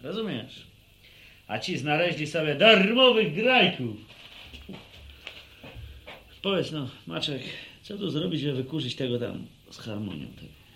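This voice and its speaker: High-voiced, cocky young villager. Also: male voice, very animated, a little slow, vocal fry, long pauses, pushed and bright, slight background noise, exaggerated voice. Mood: grumbling. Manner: folksy, blunt, joking. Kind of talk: radio drama acting.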